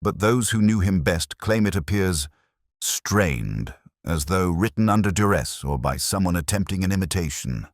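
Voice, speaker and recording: An anxious Victorian private secretary, acted out by a man reading an audiobook. He is polite, formal and precise, with a very low voice that moves expressively, in a dry recording. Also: animated, moderate pace, no room sound. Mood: uneasy.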